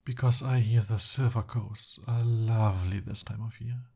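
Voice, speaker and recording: A man playing a smooth-talking, eager young captive prince in an ASMR roleplay, with formal, courtly and hesitant phrasing. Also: fairly low pitch, steady tone, moderate pace, a little mellow. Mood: pleading.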